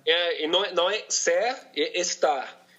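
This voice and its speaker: Um convidado de fala hesitante com sotaque de Bogotá, que recomeça as frases com frequência. O tom é levemente agudo e a gravação é opaca e lo-fi. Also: male voice, high voice, sharp tone. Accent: Colombian Spanish, from Bogotá. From Colombia. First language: Spanish. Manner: halting, searching for words, many restarts. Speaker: podcast guest, polyglot. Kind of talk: casual conversation in a second language.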